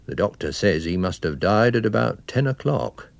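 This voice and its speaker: Man voicing a businesslike, low-pitched police inspector. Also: animated, moderate pace, gravelly, no echo. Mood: matter-of-fact. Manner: matter-of-fact, explanatory.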